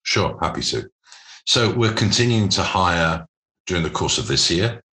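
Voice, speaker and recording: A man speaking as an interview guest, explaining business matters in measured, corporate phrasing. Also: low-pitched, expressive, bright, forceful tone. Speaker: podcast guest, private equity investor.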